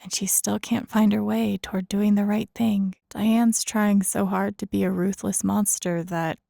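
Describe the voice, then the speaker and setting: A woman's voice: medium pitch, flat delivery, unhurried, creaky, warm tone, no room sound, clean studio sound. A young woman with an American accent acting a video game heroine, an empathetic, wry character who murmurs casually to herself. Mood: tender, thoughtful. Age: young adult. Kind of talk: naturalistic video game voice acting, inner monologue.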